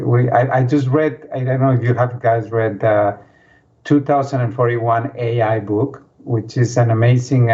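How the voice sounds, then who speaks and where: A man's voice: medium pitch, darkish tone. A man moderating a panel casually, with informal, rambling and hesitant phrasing. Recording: dull lo-fi audio.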